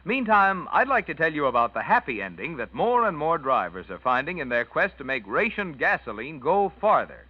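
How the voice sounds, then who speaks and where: A man's voice: on the high side, big pitch swings, moderate pace, few pauses. A man announcing a 1940s radio commercial in a folksy, persuasive manner. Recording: dull, dry vintage radio recording.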